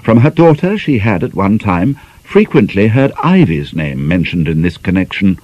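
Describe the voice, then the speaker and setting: Deep-voiced man narrating an audiobook. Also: very animated, normal speed. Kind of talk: scripted narration of a novel. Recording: slightly dull, band-limited audio.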